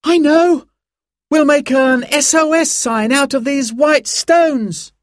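A man's voice: high voice, a little slow, no echo, studio quality, pitched above the performer's own voice. A man voicing an anxious, apologetic young boy who is eager to help, in a children's story.

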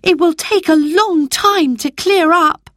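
A woman's voice: very high-pitched, slow pace, fairly bright tone, dry recording, clean studio sound, pitched above the performer's own voice, exaggerated voice. A woman reading aloud and voicing a sensible eldest girl in a storybook, with an earnest manner.

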